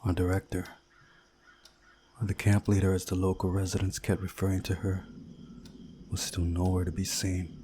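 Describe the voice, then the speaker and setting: A man reading a visual novel aloud as a playthrough host, in a measured, deliberate way with pauses. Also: low-pitched, completely flat delivery, noisy background. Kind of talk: scripted narration of a visual novel with commentary.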